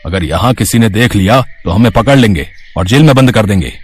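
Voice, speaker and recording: A man voicing a callous, irritated cattle thief in a story, gruff and scheming. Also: fairly low pitch, animated, a little fast, a little hiss or hum, lightly acted.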